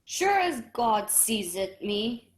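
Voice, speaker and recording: A woman's voice: a little high, sing-song, takes it slowly. A woman playing a weary, steadfast male sailor in a radio drama, recorded with a roomy echo. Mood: defiant. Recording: roomy, echoing sound.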